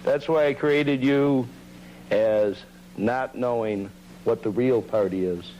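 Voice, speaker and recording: A man playing God as a calm, worldly reveler, speaking unhurried and philosophical film dialogue. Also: mid-range voice, close to an everyday voice. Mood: calm, assured. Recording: dull, muffled, faint noise.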